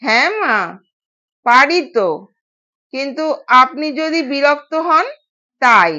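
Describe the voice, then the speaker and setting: A woman voicing a gentle, dutiful young bride, polite and soothing, in an audio story with dull sound. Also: high-pitched, takes it slowly, exaggerated voice.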